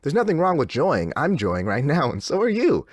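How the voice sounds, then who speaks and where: Controlling, too-cheerful radio DJ; hugely swooping delivery, dry studio sound. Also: male voice, a little high, huge pitch swings, normal speed, no echo. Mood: agitated, insistent. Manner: erratic, insistent cheer. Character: unsettlingly upbeat, controlling male radio DJ. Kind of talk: theatrical radio DJ patter.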